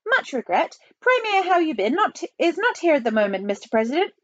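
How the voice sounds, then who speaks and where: Woman acting a Chinese official, male, high voice, Chinese accent, polite broken phrasing, storybook caricature. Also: very animated, a little fast, a bit bright, no echo, dull audio. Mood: polite, apologetic.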